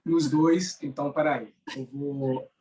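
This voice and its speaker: A man's voice: on the high side, steady tone, dark tone, a little hiss or hum, poor audio. A man with a Bahian accent, a judge, gives courteous closing remarks and chats over a video call, often restarting his sentences. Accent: Bahian Portuguese. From Brazil, born in Sergipe, raised in Salvador. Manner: courteous, speaks in halting restarts. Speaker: judge giving a closing lecture. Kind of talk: formal closing remarks and conversation.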